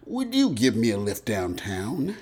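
A man voicing a skinny, polite little man in a crime story, earnest, wordy and excitable. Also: medium pitch, a little slow, slightly rough. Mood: desperate. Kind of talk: dramatized crime story reading.

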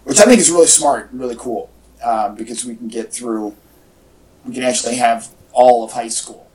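A man's voice: medium pitch, moderate pace, a little mellow, recorded from a distance. A man co-hosting a casual fan podcast, talking in an enthusiastic, rambling way. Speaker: podcast co-host. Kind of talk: casual fan chat about superhero movies. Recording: echoey, off-mic home podcast audio.

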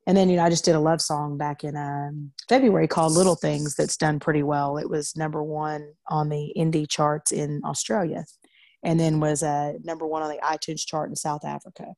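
A woman from Arkansas, a country singer, talks loosely with plenty of fillers and restarts, her voice a little raspy after two nights of singing. Her pitch sits on the low side for a woman. The sound is close and dry, with no room around it. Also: level tone, moderate pace, a little mellow, no room sound. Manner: chatty, many fillers and restarts. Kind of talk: casual interview over video call. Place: in bed at home.

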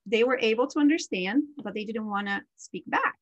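A woman's voice: on the high side, expressive, brisk, no room sound, highs cut off. Chatty woman, native Portuguese speaker. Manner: chatty, with many fillers and frequent laughs. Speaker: podcast co-host. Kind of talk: casual conversational chat.

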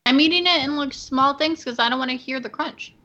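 Woman, high-pitched, bright, teasing, casual podcast banter, livestream co-host. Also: fairly quick, lo-fi sound.

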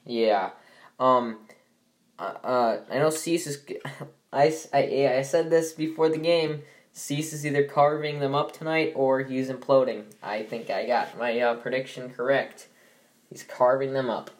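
A man's voice: mid-range voice, unhurried. A young man hosting a casual sports podcast, sounding stuffy from allergies and rambling with many fillers and restarts. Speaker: podcast host.

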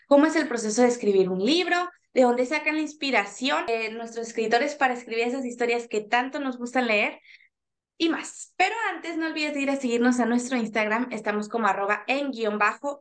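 A woman with a Mexican Spanish accent hosting a casual interview podcast, upbeat and quick with enthusiastic exclamations. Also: a little high. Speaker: podcast host.

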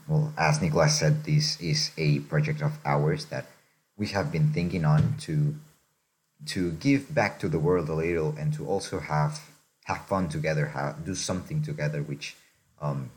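A young man from Mexico, co-hosting a podcast in casual chat, reflective and unhurried, often ending thoughts with "right?". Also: a bass voice, steady tone, a little mellow. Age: young adult. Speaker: podcast co-host.

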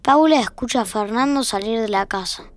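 A woman's voice: a little high, brisk, slight background noise. A woman with a Rioplatense Argentine accent reading scripted narration for an audio fiction.

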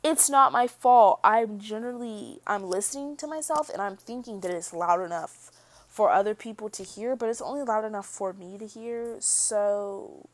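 A young woman talking casually to her viewers in a soft, slightly mumbled voice. She rambles, restarts her sentences and leans on filler words as she goes. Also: mid-range voice, normal speed. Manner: rambling, full of likes and restarts; soft-spoken, tends to mumble. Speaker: vlogger. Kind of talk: casual monologue to camera.

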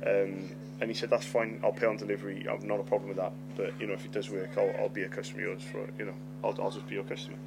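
A man's voice: lowish voice, little pitch movement, rapid-fire, vocal fry, hardly any pauses, noisy background. A middle-aged man, a lisp and a stammer, telling a story on his podcast, swearing freely and repeating words. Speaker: podcast host. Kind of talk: storytelling monologue. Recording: loud music behind voice.

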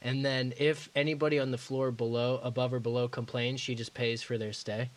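Man, podcast co-host, calm, measured, dry humor, middle pitch. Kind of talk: casual chat.

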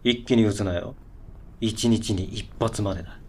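A man's voice: fairly low pitch, normal speed, natural voice. Man acting a brusque hard-boiled know-it-all, noisy background. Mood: gruff, instructive. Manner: brusque, condescending, hard-boiled slangy talk. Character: cocky self-styled veteran antidepressant user talking like gunman. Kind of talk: Japanese radio drama dialogue.

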